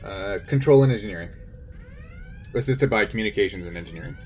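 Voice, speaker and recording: A man's voice: on the low side, animated, fast. A man running a tabletop roleplaying game among friends as game master, mixing casual rules talk with theatrical flourishes. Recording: clearly noisy background.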